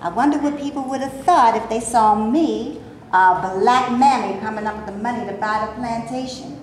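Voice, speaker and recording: A very echoey recording of a staged play reading. An actress plays a wry, indignant maid who dreams of acting, a woman with a fairly high voice and plenty to say. There is faint noise around her. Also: normal speed, natural voice.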